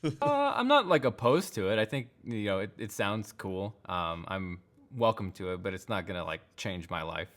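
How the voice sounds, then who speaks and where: Laid-back man with a deep voice. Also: sing-song, moderate pace, fairly bright tone. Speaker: podcast guest, tech YouTuber. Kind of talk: casual chat.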